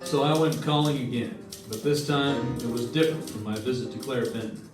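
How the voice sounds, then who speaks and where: A man's voice: mid-range voice, brisk, lightly acted. Guy doing an old radio show private investigator, dry and nosy, echoey room, kinda far from the mic. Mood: wry narrating. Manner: wry, questioning, clipped. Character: dry, probing male insurance investigator narrating his case. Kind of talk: old-time radio detective drama acting. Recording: roomy, distant stage recording with music.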